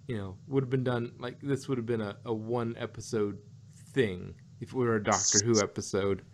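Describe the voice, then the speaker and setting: A man's voice: medium pitch, steady tone, moderate pace, slight background noise. Laughing, rambling male podcast co-host. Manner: rambling, laughs often, restarts and hedges mid-thought. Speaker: podcast co-host reviewing an audio drama. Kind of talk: casual review chat.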